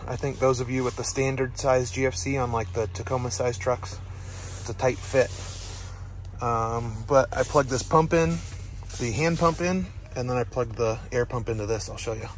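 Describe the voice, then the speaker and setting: Casual male outdoor vlogger, thirties, mid-pitched, laid-back, camera at arm's length, noisy outdoor background. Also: moderate pace. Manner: relaxed, matter-of-fact show-and-tell with fillers. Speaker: overland camping vlogger. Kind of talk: casual vlog narration. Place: outdoors at a forest campsite.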